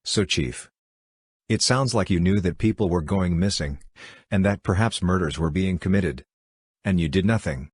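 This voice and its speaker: A man voicing a gruff male interrogator who questions a police chief bluntly, in a dramatized audio story. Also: deep voice, normal speed, a little mellow, no echo, clean studio sound, voice pushed down. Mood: probing. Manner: blunt, probing.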